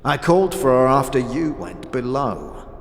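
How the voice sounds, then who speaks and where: An actor playing a sly, withered old scavenger boss in a fantasy audio drama, wheedling and cagey, with archaic, inverted phrasing. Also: male voice, mid-range voice, very animated, normal speed, a crowd in the background, natural voice. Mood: wry, evasive. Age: older. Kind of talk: audio drama character acting. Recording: long cavernous reverb.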